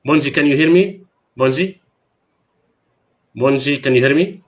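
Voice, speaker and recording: A man who hosts a video call through a headset from a small room at home, speaking hesitantly and filling his pauses with "you know.". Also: mid-range voice. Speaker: community organizer and host. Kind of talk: casual online talk.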